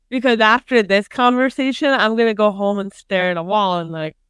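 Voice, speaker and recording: A woman co-hosting a podcast in casual chat, quick and joking, laughing often at her own lines. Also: medium pitch, fairly bright tone, clear, resonant tone, no echo. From New York. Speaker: podcast co-host.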